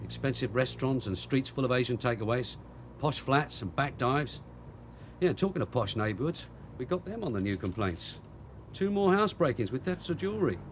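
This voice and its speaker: A man acts a long-serving London police sergeant for radio drama. His London voice is animated and mid-pitched, full of brisk orders and dry teasing. A faint background noise sits behind him. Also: close to an everyday voice.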